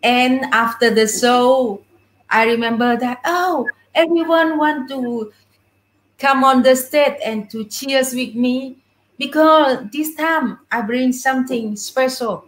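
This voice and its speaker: A woman's voice: sits high, takes it slowly, fairly bright tone, a little hiss or hum. A middle-aged woman with a Vietnamese accent, telling stories casually as an interview guest in non-native English. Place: indoor room full of puppets, on a video call. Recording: roomy echo on a video call.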